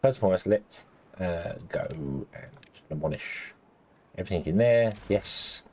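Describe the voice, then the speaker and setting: Croaky man with a low voice. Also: expressive, unhurried, darkish tone. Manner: rambling, lots of ums and self-corrections. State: slightly croaky, losing his voice. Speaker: let's play host. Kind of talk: casual gameplay commentary.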